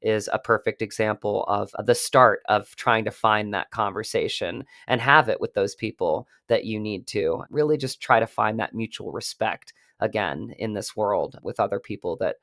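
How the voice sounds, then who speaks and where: Low gravelly American man, earnest guest. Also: moderate pace, hardly any pauses, fairly bright tone, dry recording, clean studio sound. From United States. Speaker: actor, interview guest. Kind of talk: reflective interview talk.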